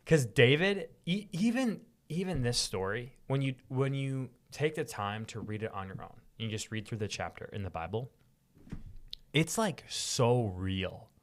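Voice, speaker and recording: A man's voice: mid-range voice, lively intonation, a little slow. A young man co-hosting a podcast, chatting about Bible stories in an informal, slangy, enthusiastic way. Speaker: podcast co-host.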